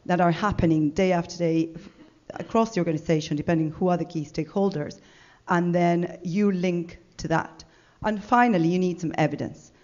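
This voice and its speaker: A middle-aged woman with an Argentine accent speaks to a room, chatty and animated, full of "um"s and restarts. Her voice sits on the low side. The event recording sounds dull and lo-fi. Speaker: conference speaker. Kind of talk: business talk to an audience.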